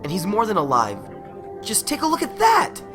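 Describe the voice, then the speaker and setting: A woman's voice: medium pitch, huge pitch swings, moderate pace. Observant anime battle commentator, played by a woman: theatrical, very swooping delivery over heavy music. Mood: intrigued. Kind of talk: anime fan dub acting. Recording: loud music over dub clip.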